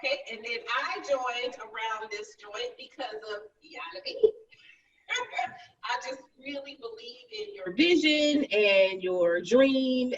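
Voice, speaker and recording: Slow-talking woman, distant noisy call. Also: on the high side, highs cut off. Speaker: voice actor. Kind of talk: casual chat.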